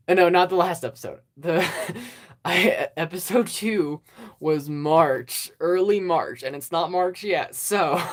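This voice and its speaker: A YouTube host in a casual, rambling channel update, hesitant and self-deprecating, with many ums and small laughs. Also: medium pitch. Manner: self-deprecating about own past work. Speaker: stop-motion channel host.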